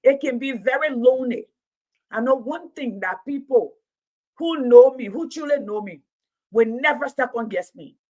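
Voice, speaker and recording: A woman's voice: sits high, expressive, moderate pace, darkish tone. A woman in her late fifties giving impassioned livestream commentary, preaching in repeated rhetorical build-ups. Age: 50s. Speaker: commentator and Pan-Africanism teacher.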